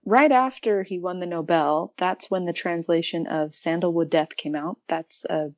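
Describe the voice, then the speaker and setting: A woman giving thoughtful, measured and articulate answers as an academic guest in a conversational interview. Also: fairly low pitch, no room sound. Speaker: podcast guest, academic researcher.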